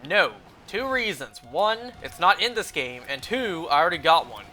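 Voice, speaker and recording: A man's voice: a little high, very animated, moderate pace, pushed and bright. A young man with a North American accent chatting casually and in a rambling way while streaming a video game, speaking into a close mic.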